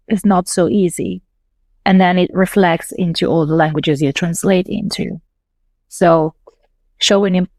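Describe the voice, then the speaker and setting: Italian-born woman, low calm voice. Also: dry recording. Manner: thoughtful, explains step by step with fillers. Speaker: podcast guest, localization manager. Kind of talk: casual interview talk.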